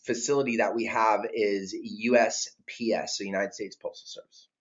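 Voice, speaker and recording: A man with a somewhat low voice answers questions on a training call in a casual, joking way. The sound is a little dull. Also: fairly even intonation, moderate pace. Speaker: company presenter answering questions.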